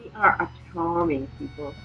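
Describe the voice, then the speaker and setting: Casting: older woman, very husky, dull lo-fi remote line, wistful guest. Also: low voice, normal speed, soft background music. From Guyana. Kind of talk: remote interview, reminiscing.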